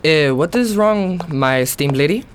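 A man's voice: fairly high pitch, lively intonation, moderate pace, slight background noise, close to an everyday voice. A man playing an innkeeper with florid courtesy and stern bluster in an audio drama. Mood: apologetic, deferential. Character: deferential male innkeeper, blustering and stern with troublemakers.

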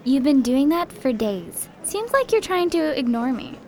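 A woman's voice: high voice, very animated, a little slow, a little mellow, heavily acted. A young woman playing a scheming, bossy student rebel leader in an audio drama. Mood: accusing.

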